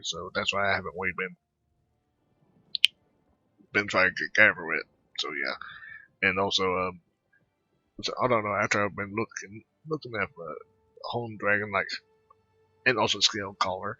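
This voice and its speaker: A man's voice: fairly low pitch, steady tone, moderate pace, pauses often, pushed and bright, husky, no room sound, highs cut off, soft background music. A man giving casual, rambling game commentary, often repeating words and trailing off, into a close microphone. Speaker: gaming video host.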